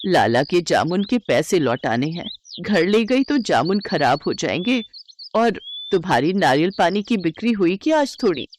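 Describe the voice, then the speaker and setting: A woman voicing a kind, weary eighty-year-old village grandmother in an affectionate, gently reassuring way for a storybook tale. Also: fairly low pitch, sing-song, moderate pace, slight background noise. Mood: gentle, weary.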